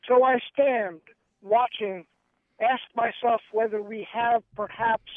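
A man's higher-pitched voice reaches the show through a tinny telephone line, dry and close with no room sound. He speaks thoughtfully, often restarting his sentences. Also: fairly even intonation, no room sound. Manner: thoughtful, hesitant restarts; measured reading. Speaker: guest poet and activist. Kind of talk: radio interview and poetry reading.

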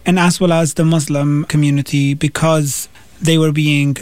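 Afghan-born man, fairly high, even pitch. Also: moderate pace. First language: not English. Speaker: interview guest, PhD student and activist. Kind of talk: thoughtful interview talk.

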